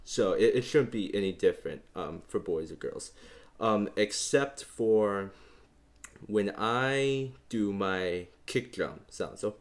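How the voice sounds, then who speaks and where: A young man with a bass voice hosting a beatbox tutorial in a relaxed, rambling way, full of fillers. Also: slow. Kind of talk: casual instructional talk.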